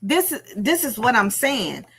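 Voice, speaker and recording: A woman's voice: a little high, a little slow, husky. A woman giving casual livestream gossip commentary, telling stories emphatically, acting out quotes and repeating phrases for effect. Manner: animated storytelling, acts out quotes. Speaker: livestream host.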